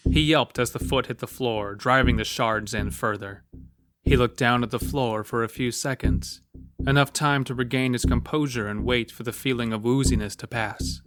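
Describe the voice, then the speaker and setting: A man's voice: mid-range voice, steady tone, moderate pace, no room sound. A man narrating a scripted horror story. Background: soft music bed.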